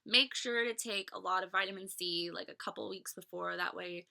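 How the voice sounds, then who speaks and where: Bright-voiced stuffy young woman vlogging. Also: mid-range voice, moderate pace, vocal fry. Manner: chatty, rambling with many fillers and restarts. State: stuffy, voice a little off from a cold. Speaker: vlogger reviewing a fan convention. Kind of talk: casual talk to camera. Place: small bedroom, indoors.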